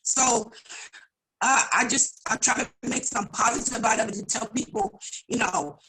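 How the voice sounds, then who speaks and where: A woman pastor speaks on a video call, her voice a little raspy from a vocal cord implant yet bright and forward. She talks earnestly and prayerfully, often restarting a phrase before pushing on. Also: on the high side, normal speed, rough recording. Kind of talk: virtual audience member sharing testimony.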